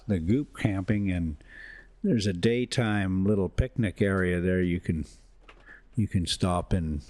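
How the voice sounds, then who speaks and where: Low-voiced man with lively, swooping pitch. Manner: folksy, chuckling, self-interrupting. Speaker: vlog host and story reader. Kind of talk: casual rambling travel narration.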